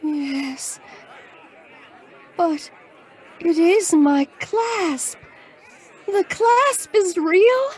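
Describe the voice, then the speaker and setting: Fragile lovelorn noir dame, very high voice, slow halting delivery, sentimental, emotional, audio drama. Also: female voice, some chatter behind, full character voice. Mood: dismayed. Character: fragile, lovelorn, sentimental woman client in distress.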